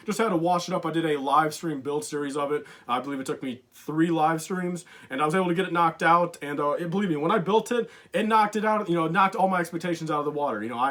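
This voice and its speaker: A young man talks fast through a casual countdown, his voice sitting a bit high and starting to go hoarse. He rambles and fills gaps with "uh" and "you know", eager about every item. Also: a bit bright. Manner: rambling, lots of fillers, enthusiastic. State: losing his voice. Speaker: LEGO collector YouTuber.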